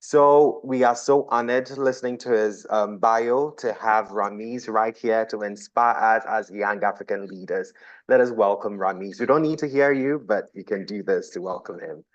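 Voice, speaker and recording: A man hosts a conference, reading a formal introduction of the next speaker. His low voice trips on unfamiliar names now and then. The recording sounds dull and muffled. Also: normal speed. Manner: reads formally, stumbles on names. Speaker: conference host.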